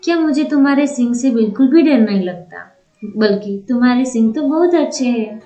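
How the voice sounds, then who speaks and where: A woman voicing a gentle, secretive fairy in a Hindi moral story cartoon, soothing and coaxing, with echoey, dull sound. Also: a little high, expressive, normal speed, soft background music, lightly acted. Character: gentle, secretive young fairy befriending a child.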